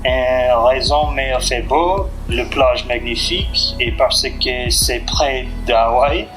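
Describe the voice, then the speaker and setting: A man speaks slow, deliberate French with small hesitations. He has a medium-pitched voice and a plain delivery. Background music plays clearly behind him. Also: fairly bright tone, rough recording. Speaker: beginner French student, guest.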